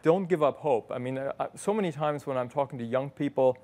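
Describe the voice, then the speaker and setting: A man, a guest in an interview, telling his memories in a thoughtful, measured way. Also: medium pitch, darkish tone. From West Germany. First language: German. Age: 50s. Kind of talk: reflective conversational storytelling.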